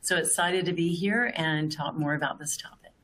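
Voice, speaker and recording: A woman introduces herself as an HR leader, earnest and often repeating her words. Her voice is very low. Faint noise sits in the background. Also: moderate pace, fairly bright tone. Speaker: panelist, HR leader. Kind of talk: panel introduction.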